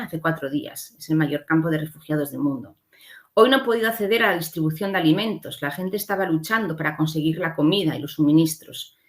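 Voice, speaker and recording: A woman from giving an explanatory slide lecture as a conference speaker, often adding short checking tags. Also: on the low side.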